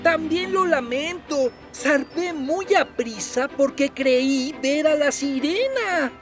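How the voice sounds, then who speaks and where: An eager little sailboat. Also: male voice, very high-pitched, animated, slow pace, faint music behind, exaggerated voice. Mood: apologetic. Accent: Latin American Spanish. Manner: polite, apologetic. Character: small polite male sailboat, eager and apologetic.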